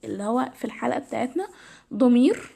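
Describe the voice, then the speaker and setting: A woman's voice: fairly high pitch, lively intonation, fast, gravelly, mellow, slight background noise. A woman with an Egyptian Arabic accent, teaching English grammar in a casual, step-by-step way, her voice a little tired. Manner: explains step by step, repeats "تمام". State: tired, slightly strained voice.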